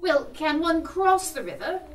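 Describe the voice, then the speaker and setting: A woman's voice: sits high, expressive, unhurried, voice pushed up. A woman voicing a polite, eager traveller in a shadow puppet show, inquiring and full of exclamation. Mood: politely puzzled. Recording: live room, distant mic.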